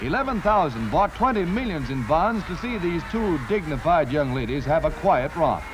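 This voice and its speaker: A male American newsreel narrator from the 1940s, delivering scripted copy with theatrical punch. His voice sits high and swings widely in pitch, with music playing clearly behind it. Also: big pitch swings, normal speed, low-quality recording. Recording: vintage 1940s newsreel soundtrack.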